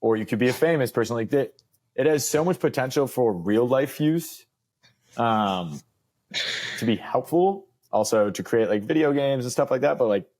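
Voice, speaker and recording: A man's voice: medium pitch, lively intonation, normal speed, darkish tone. A young man co-hosting a podcast, chatting in a loose, upbeat way and leaning on filler words. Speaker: podcast co-host. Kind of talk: casual chat.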